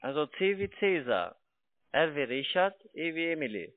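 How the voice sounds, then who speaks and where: Higher-voiced salesman on the phone. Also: very animated, moderate pace, dry recording. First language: not German. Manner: wordy, repetitive, stumbling phrasing. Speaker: call centre investment salesman. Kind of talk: sales pitch on a phone call. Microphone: telephone. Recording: thin, band-limited phone line, dry.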